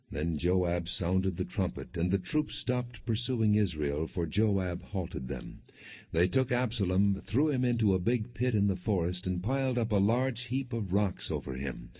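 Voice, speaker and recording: A man reading scripture as an audiobook narrator, in measured, clearly enunciated storytelling without dramatization. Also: low voice. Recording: muffled, phone-like lo-fi audio.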